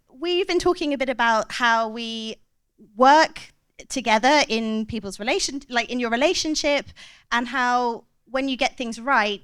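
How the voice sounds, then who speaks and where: A woman's voice: fairly high pitch, a bit bright, clean tone. A woman, a clinical psychologist giving a chatty, self-mocking short talk to an audience.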